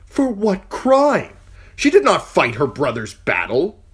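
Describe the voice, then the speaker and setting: A man's voice: mid-range voice, sing-song, measured pace, slightly rough, voice pushed up, heavily acted. A man voicing a cutting, commanding dwarf lord who scolds with scorn. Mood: scornful. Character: sharp-tongued, commanding adult dwarf lord.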